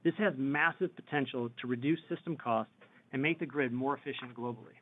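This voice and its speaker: A man's voice: medium pitch, a little fast. A man reading prepared remarks as a company executive on an earnings call. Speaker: company executive giving business update. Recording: thin phone-line conference audio.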